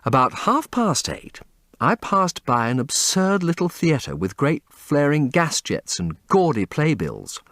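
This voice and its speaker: A man voicing an impressionable, earnest young English gentleman with an upper-class accent and florid manner, in an audiobook. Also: a little high, big pitch swings, unhurried, dry recording, studio quality, heavily acted. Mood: ardent, excited. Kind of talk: audiobook character reading.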